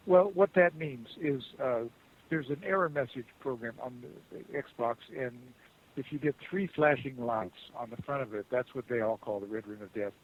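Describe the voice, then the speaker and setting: A man working as a customer service agent on the phone, speaking in a halting, rambling, conciliatory way. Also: medium pitch. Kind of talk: unscripted phone support conversation. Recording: muffled phone call with hiss.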